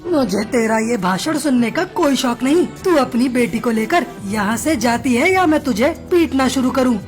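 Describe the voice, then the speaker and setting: A woman's voice: high-pitched, lively intonation, normal speed, soft background music. A woman voicing a harsh, bullying male crow in a cartoon, blustering and demanding.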